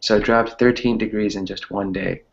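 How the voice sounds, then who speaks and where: A man's voice: lowish voice, fairly even intonation, normal speed, a little hiss or hum. A man chatting casually as an online class teacher, hesitant and often repeating phrases, on slightly muffled audio. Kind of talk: casual chat with students.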